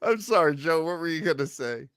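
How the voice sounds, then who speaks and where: A man's voice: on the high side, expressive, brisk, fairly bright tone, slight background noise. A man hosting a casual chat show about old radio, thinking aloud in a hesitant way. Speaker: podcast host.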